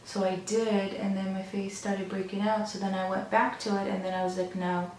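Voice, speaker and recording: A young woman with a slightly hoarse, raspy voice from a sore throat, chatting casually and rambling while doing her makeup. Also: mid-range voice, flat delivery, moderate pace, darkish tone, distant mic. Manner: rambling, many ums and restarts. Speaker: beauty vlogger. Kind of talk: casual get-ready-with-me chat. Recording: very echoey room, faint noise.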